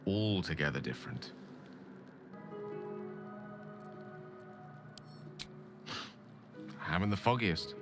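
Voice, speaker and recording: A learned male wizard with a hunger for godlike power, in a fantasy video game. His voice is bright and forceful, with lively swings of pitch. He phrases things grandly and pauses for drama, over faint music. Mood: wry. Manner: theatrical, erudite, measured phrasing with dramatic pauses. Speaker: game character, wizard companion.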